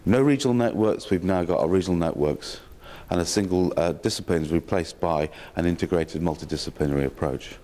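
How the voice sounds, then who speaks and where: A man with a slightly croaky voice giving a welcome speech at a health sector session, with dry self-mocking humour and many ums and restarts. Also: low voice, a little fast, hardly any pauses, dark tone. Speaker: opening speaker at a health sector learning session. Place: conference hall. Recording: long hall reverb.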